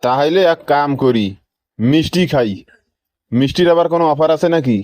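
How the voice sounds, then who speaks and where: A man's voice: on the high side, moderate pace, darkish tone, natural voice. A man with a Rangpur Bangladeshi accent voicing a hungry, exasperated phone-company worker who complains bluntly in a comic cartoon. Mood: impatient.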